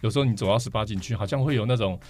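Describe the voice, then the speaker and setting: A man's voice: lowish voice, rapid-fire, darkish tone. A man with a Taiwanese Mandarin accent, talking and explaining at length as a guest in a casual podcast interview. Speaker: podcast guest, vinyl record collector.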